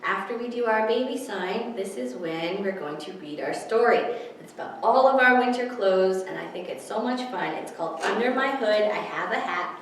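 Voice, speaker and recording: A woman's voice: medium pitch, animated, normal speed. A woman hosting a storytime for small children, teaching and reading aloud in simple, encouraging language. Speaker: children's library storytime host. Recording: echoey room, distant mic, faint noise.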